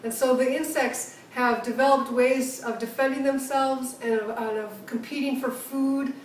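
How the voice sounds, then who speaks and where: A woman's voice: high-pitched, steady tone, moderate pace, a little hiss or hum, low-quality recording. A woman working on rainforest protection, earnestly explaining things in simple words to young schoolchildren, often repeating words as she thinks aloud. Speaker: rainforest conservationist answering pupils' questions.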